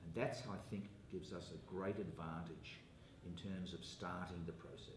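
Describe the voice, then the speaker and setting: A middle-aged man with a New Zealand accent, answering interview questions in a measured, formal way from a hotel meeting room. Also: deep voice, fairly even intonation. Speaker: investor guest. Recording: roomy with faint ambient noise.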